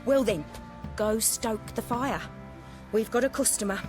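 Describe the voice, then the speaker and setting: A woman's voice: on the high side, unhurried, music in the background, close to an everyday voice. A woman playing a self-assured master armorer in a video game, courteous, composed and direct. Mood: warm, confident. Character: self-assured, polite female master armorer, plain-spoken and firm. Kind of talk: video game character acting.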